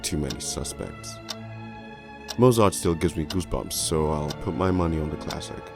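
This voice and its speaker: A man plays a hard-boiled, corrupt detective narrating a noir storybook. The voice is extremely low and thick with gravel, brooding and deliberate in its cynicism. Music runs clearly behind it. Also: full character voice. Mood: weary, bitter, then cynical.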